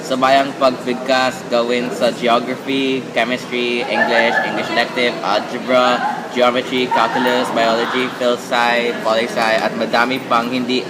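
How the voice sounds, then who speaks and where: A man's voice: medium pitch, monotone, a little slow, natural voice. A man playing an overwhelmed student in a scripted school radio drama. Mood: weary, defeated. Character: overwhelmed male student close to giving up. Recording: very noisy amateur recording.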